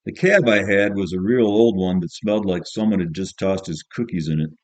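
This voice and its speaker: A man's voice: deep voice, expressive, brisk, darkish tone, no echo. A man reading as a jaded, cynical teenage schoolboy, narrating an audiobook in the first person in a colloquial, grumbling, offhand way. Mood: musing.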